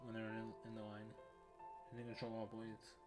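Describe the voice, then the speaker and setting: A man's voice: fairly low pitch, monotone, normal speed. A man chatting casually as he plays a video game, laughing often and rambling in asides. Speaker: let's play streamer. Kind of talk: casual gameplay commentary. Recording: game music under voice. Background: game music.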